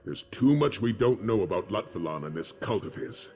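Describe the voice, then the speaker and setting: Wise old-school commander type in a fantasy game, really deep, serious and formal, music in back. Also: male voice, expressive, a little mellow, slightly rough. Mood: solemn. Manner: measured, solemn, formal. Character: grave, wise male dragon-hunter commander and mentor. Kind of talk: video game dialogue acting. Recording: music behind the voice.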